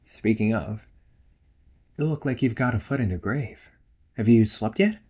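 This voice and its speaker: A man's voice: lowish voice, unhurried, darkish tone, breathy edge. A man playing a playful, nagging young ghost brother in a casual roleplay audio drama, teasing and chatty. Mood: playful concern. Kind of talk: casual one-sided roleplay audio acting.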